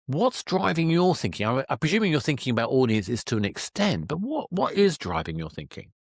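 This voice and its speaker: A man's voice: mid-range voice, very animated, fairly quick, dry recording, studio quality. A man hosting an interview podcast, talking conversationally in a thoughtful, wordy way that circles around his point. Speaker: podcast host interviewing a guest.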